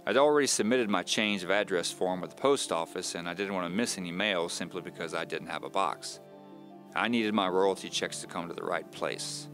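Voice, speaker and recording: Low-voiced man narrating a mystery, music underneath. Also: fairly quick. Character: Knox Carter, wry self-deprecating male novelist. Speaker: audio drama narrator. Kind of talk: first-person scripted mystery narration.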